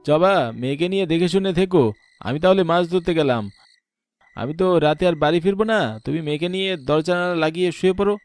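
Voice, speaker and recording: A man's voice: on the high side, a little fast, dry recording, faint music behind, lightly acted. A man playing a poor, earnest village fisherman and family man in a horror cartoon. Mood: hopeful. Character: poor, hopeful village fisherman, husband and father.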